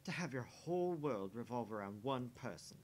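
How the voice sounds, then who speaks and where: Smug, sneering married man acted by a male player for a visual novel; condescending, very animated intonation, faint rumble behind. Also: normal speed, lightly acted. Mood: mocking, smug. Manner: mocking, condescending. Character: smug, sneering, jaded married man teasing his dinner date. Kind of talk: voice-acting visual novel dialogue. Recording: faint background rumble and noise.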